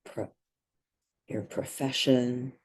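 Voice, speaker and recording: A woman leads a guided meditation in a husky voice. She speaks very slowly and gently, setting out short phrases and leaving long pauses between them. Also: very deep voice, fairly even intonation.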